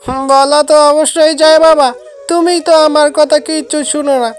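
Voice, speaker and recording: A woman's voice: sits high, fairly even intonation, brisk, close to an everyday voice. A woman voicing a stubborn, eager young village boy in a cartoon, pleading and petulant. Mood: sulky. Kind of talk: amateur cartoon voice acting. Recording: loud music behind the voice.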